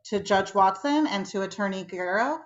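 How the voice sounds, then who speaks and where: A woman's voice: mid-range voice, animated, brisk, a little hiss or hum. Woman moderator on a dull call line. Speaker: forum moderator. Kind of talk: moderating a forum.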